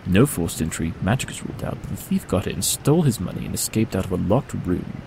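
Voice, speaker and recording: Audio drama detective pony, male actor, shrewd and dry-witted, clipped, gravelly, rain noise behind. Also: low-pitched, expressive. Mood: intrigued, brisk. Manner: clipped, wry, deliberate.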